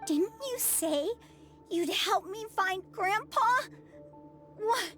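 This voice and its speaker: A woman voicing a young child in a video game, small-voiced, plaintive and halting. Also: very high-pitched, fairly bright tone, full character voice. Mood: hurt, pleading. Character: young child worried for a grandparent. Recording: music under the voice.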